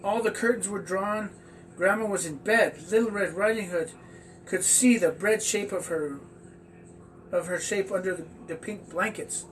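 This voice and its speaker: A man telling a children's story aloud in a halting, stumbling way. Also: high voice. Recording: distant mic with faint background noise.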